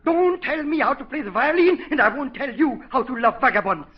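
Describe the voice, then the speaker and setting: Radio comedy Frenchman: vain violinist, very high voice, wildly swooping, protesting in broken English. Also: expressive, a little fast. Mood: indignant. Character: excitable, vain French orchestra violinist, comically indignant. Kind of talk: 1940s radio comedy acting. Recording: muffled vintage 1940s radio broadcast.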